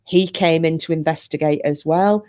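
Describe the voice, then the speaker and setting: A woman's voice: deep voice, steady tone, normal speed, a little mellow. A woman co-hosting a podcast, chatting casually about a paranormal case, with lots of fillers and restarts. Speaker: podcast co-host.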